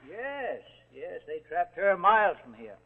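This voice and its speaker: An actor playing a gruff, sardonic rural sheriff in 1940s suspense television style. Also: male voice, high voice, big pitch swings, a little slow, a crowd in the background, full character voice. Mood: matter-of-fact. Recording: tinny, echoing 1949 television soundtrack.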